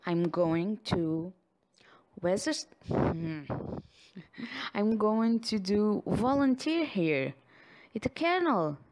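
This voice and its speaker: A woman's voice: medium pitch, lively intonation, a little mellow. A woman who is not a native English speaker, doing an affectionate ASMR girlfriend roleplay addressed straight to the listener. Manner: slow, affectionate, with frequent sighs and giggles.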